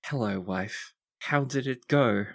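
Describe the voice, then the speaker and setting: A man voicing a composed, courteous young prince in a tabletop game, with a dry, measured delivery. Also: medium pitch, vocal fry, a bit bright, no echo. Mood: dry, gentle.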